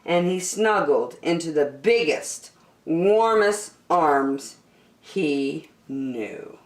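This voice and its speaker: Slow, expressive woman storyteller in echoey room. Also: deep voice. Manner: expressive, emphatic, drawn-out key words. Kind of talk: animated children's storybook reading.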